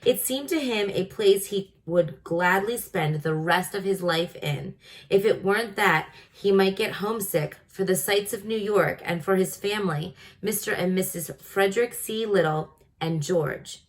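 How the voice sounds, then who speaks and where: A woman's voice: medium pitch, measured pace, rough recording. A woman reading a children's story aloud, adding informal, chatty asides to her listeners.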